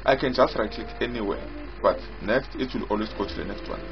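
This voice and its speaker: A man giving an unscripted software walkthrough as its developer, explaining haltingly and repetitively in short phrases. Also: lowish voice. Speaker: software developer demonstrating own app. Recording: dull lo-fi audio with loud music. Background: loud music.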